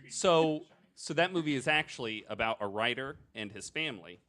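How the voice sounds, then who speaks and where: A man's voice: medium pitch, lively intonation, moderate pace, pushed and bright. A man hosting a casual podcast, explaining things in a joking way. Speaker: podcast host.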